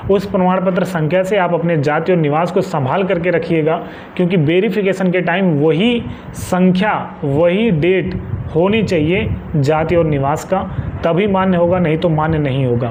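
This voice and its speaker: A man's voice: a little high, darkish tone. A man from northern India giving informal advice to viewers as an exam guidance presenter, insistently repeating key points for emphasis. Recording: muffled lo-fi with faint background noise.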